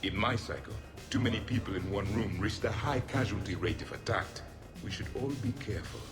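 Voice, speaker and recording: Man as a deep, haughty alien warrior. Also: brisk, music in the background, lightly acted. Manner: imperious, solemn, formal. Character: haughty ancient alien warrior, solemn and scornful. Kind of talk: video game character acting.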